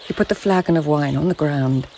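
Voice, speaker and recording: A woman's voice: low-pitched, brisk, dark tone, slight background noise. A woman playing a serious, firm noblewoman investigator of the Japanese imperial court in a radio drama. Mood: intent, recounting. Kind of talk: radio drama acting and narration.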